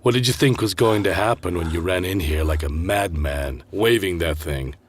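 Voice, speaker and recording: Man playing a husky, deep-voiced villainous stepfather. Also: moderate pace. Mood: taunting. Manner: menacing, mocking, swinging delivery. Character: villainous murderous stepfather, unrepentant and coldly theatrical. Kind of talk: student radio drama acting.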